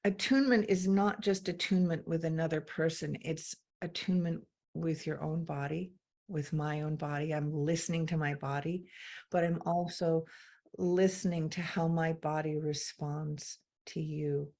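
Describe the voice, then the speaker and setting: A woman from England, a guest in a conversation, speaking reflectively with frequent pauses and ums. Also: low voice, steady tone, darkish tone. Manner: slow, thoughtful, with many pauses and ums. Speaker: interview guest.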